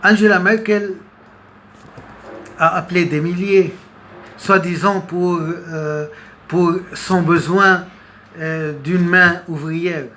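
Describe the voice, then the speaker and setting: Very slow, halting man giving commentary. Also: high voice, fairly even intonation, pushed and bright. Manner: halting, hesitant, with long pauses and repeated words. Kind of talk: unscripted political commentary monologue. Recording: noticeable background noise.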